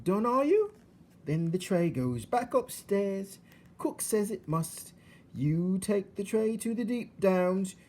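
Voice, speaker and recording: A man voicing a loud, simple-minded, hard-of-hearing servant girl in a storybook, with an eager, ungrammatical way of talking. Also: high voice, big pitch swings, slow, pitched above the performer's own voice, exaggerated voice. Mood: dutiful. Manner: shouting, ungrammatical, dim and eager.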